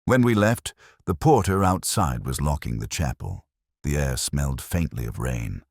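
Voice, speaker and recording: A middle-aged man reading a scripted first-person detective story in a measured, brooding manner, with deliberate pauses. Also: extremely low pitch, animated, no echo, clean studio sound. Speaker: narrator.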